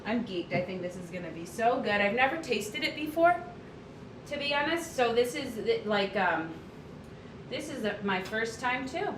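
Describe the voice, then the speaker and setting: Woman, loud teacher voice, chatty and upbeat, home kitchen, echoey room, faint background noise. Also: on the high side, lively intonation, normal speed. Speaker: cooking livestream host and coach. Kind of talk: casual live cooking stream. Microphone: camera across the kitchen.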